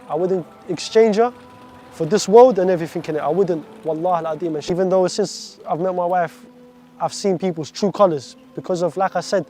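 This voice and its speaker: A man's voice: high voice, dark tone. A man in his twenties from Iraq, giving an earnest, heartfelt faith testimony full of devout phrases. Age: 20s.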